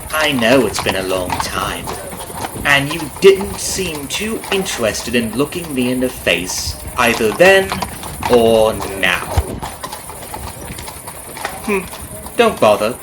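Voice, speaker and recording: A man's voice: medium pitch, very animated, slow, pauses often, background noise. A man playing a vengeful carriage driver in an ASMR roleplay, taunting and conversational, with a friendly surface over cold menace. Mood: quietly menacing. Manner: taunting, conversational with rhetorical tag questions. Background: clip-clopping horse and carriage ambience.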